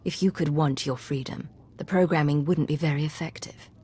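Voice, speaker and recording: A woman's voice: deep voice, steady tone, fairly quick, dark tone, husky, no room sound. An actress playing a composed, loyal female secret agent in a video game, speaking in a controlled, serious and direct manner. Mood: composed, earnest. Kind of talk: cinematic video game dialogue.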